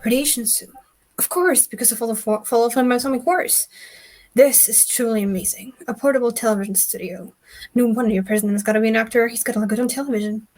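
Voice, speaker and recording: A woman playing an excitable, eccentric old male inventor in a theatrical script read. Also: a little high, fast, close to an everyday voice. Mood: amazed. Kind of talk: table read of a film script.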